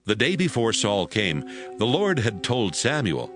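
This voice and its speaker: A male narrator reads scripture with a fairly low voice. His measured storytelling has lively, expressive intonation. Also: soft background music.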